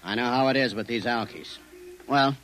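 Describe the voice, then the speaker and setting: A man's voice: mid-range voice, normal speed, loud music behind the voice, natural voice. An actor playing a breezy, skeptical street policeman in a 1950s radio drama, talking in a wry, colloquial way. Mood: brisk, patronizing. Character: breezy, skeptical male street cop, folksy and patronizing. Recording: noisy, crackly old 1950s radio broadcast.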